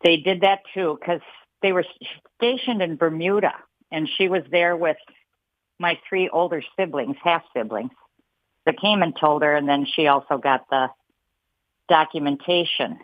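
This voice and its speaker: A woman's voice: low voice, normal speed, no echo. A woman calling a radio show, plain-spoken and wry. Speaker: caller. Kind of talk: phone call to a radio show. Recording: muffled phone line.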